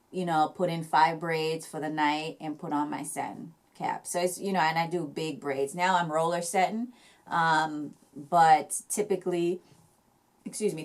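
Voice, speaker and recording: Young jamaican woman rambling about her hair routine, lowish voice, lots of um and like. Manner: chatty, many fillers and restarts. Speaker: hair vlogger. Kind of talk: casual hair care chat to camera.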